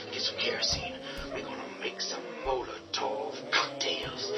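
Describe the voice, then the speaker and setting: A man's voice: on the low side, lightly acted. An actor playing a tough, commanding man who leads survivors in a radio drama, speaking curtly with bursts of anger. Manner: curt, forceful, bursts of anger. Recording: distant voice with loud music.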